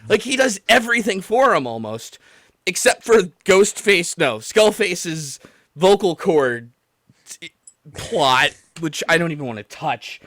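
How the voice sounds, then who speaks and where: Man, livestream host, higher-pitched, swooping intonation, exasperated casual rant, sweary, close dry sound. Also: a little slow, no echo. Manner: exasperated, animated, rambling, mimicking others in mock quotes. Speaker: podcast and livestream host taking callers. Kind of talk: casual profane ranting about a video game.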